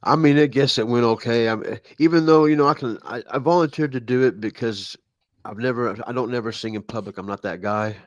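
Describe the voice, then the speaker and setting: Self-deprecating man, halting storyteller. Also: mid-range voice, dry recording, studio quality. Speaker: co-host. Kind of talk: casual chat.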